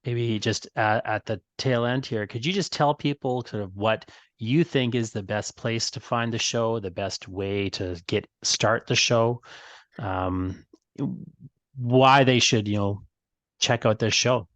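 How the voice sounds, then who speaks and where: A man's voice: mid-range voice, slow, a bit bright, dry recording. A man hosting a casual interview, putting his questions in a tentative, affirming way. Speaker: podcast host, interviewer.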